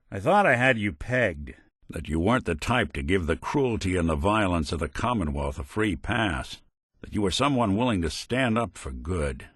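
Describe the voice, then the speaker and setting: An actor playing a world-weary, principled robot detective in a video game, with an American accent and wry, plainspoken, hard-boiled old-time talk. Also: male voice, fairly low pitch, a bit bright, no echo, studio quality. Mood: stern, disappointed. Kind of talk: video game character dialogue.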